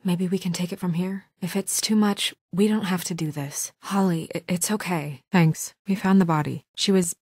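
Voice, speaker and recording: A woman's voice: lowish voice, fairly even intonation, darkish tone, breathy edge, no echo. Composed, caring woman official, very dry sound. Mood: gentle, sorrowful. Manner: earnest, measured, diplomatic. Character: composed, caring, firm young woman official in a fairy-tale community. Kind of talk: naturalistic video game voice acting.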